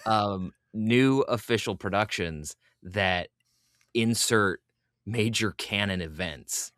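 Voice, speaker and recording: A man's voice: on the low side, takes it slowly, a bit bright, no room sound, clean studio sound. A man hosting a podcast interview, chatting casually and adding wry asides for the listeners.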